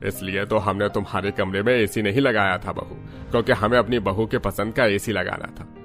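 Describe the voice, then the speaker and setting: A man's voice: on the low side, steady tone, fairly quick, fairly bright tone, soft background music, close to an everyday voice. A man voicing an indulgent, reassuring rich father-in-law in a family cartoon.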